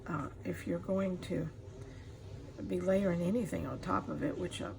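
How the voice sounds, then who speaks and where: A middle-aged woman giving a casual craft demonstration as she works, pausing often to think. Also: on the low side, steady tone. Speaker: craft tutorial host. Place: indoors at a craft table. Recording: clear background noise, room ambience.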